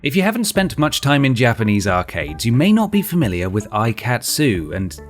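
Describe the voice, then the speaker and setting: Wry male narrator with lively intonation. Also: medium pitch, moderate pace. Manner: wry, dry humour. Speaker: narrator of a ranked video list. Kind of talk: scripted narration. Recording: music behind the voice. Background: background music.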